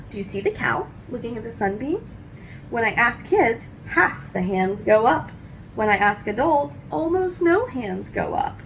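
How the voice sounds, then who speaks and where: A woman's voice: on the high side, slight background noise, rough recording. A woman reading a nonfiction book aloud for children, expressively, with careful teacherly phrasing and emphasized questions. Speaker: read-aloud host.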